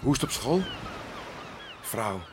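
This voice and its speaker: A man's voice: medium pitch, wildly swooping pitch, unhurried. Old fisherman dad, stunned, voice swings wildly up and down, noisy background. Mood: warm. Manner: dazed, repeating himself in shock.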